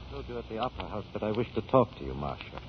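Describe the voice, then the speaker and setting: Jealous husband, low voice, formal, brooding, 1940s radio drama, tinny broadcast. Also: male voice, very animated, very fast, background noise. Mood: cold suspicion. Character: controlled, jealous, brooding husband of an opera star.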